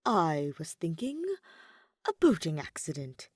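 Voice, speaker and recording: A woman voicing a grave, sardonic young male student with a deadpan, sarcastic manner in an audiobook. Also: deep voice, unhurried, husky, no echo, studio quality, exaggerated voice. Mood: dry amusement. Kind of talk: audiobook character acting.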